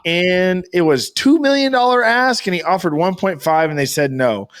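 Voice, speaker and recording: A man's voice: high voice, lively intonation, normal speed, a bit bright. A man co-hosting a casual comedic podcast, talking in a slangy, emphatic, profane way. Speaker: podcast co-host.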